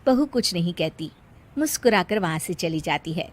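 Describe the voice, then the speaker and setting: A woman's voice: fairly low pitch, expressive, moderate pace. A woman narrating a scripted story as a storyteller.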